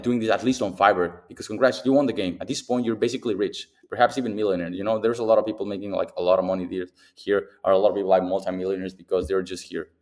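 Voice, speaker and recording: Fast-talking Mexican-accented young man. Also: lowish voice. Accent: Mexican Spanish. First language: Spanish. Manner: rambling, filler-heavy, repeats himself. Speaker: freelancing coach. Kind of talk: casual tutorial talk to camera. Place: abroad in Bali.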